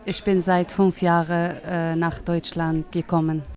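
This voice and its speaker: Slow, hesitant woman from Syria. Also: on the low side, level tone, a little mellow, a faint crowd. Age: 30s. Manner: hesitant, searching for words, simple grammar. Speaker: interviewee, English teacher. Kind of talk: interview answers.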